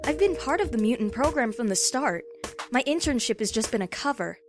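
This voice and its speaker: A woman's voice: fairly high pitch, animated, fairly quick, music in the background, close to an everyday voice. A woman playing a young intern bluffing her way along, casual and persuasive, in a comic-book audio drama. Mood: confident bluffing. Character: young female intern, breezy undercover bluffer.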